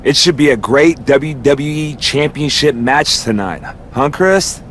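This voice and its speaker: A man's voice: medium pitch, lively intonation, measured pace, fairly bright tone, a little hiss or hum. A man playing a vain, self-satisfied pro wrestler in a video game, smug and needling. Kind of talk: scripted video game cutscene acting.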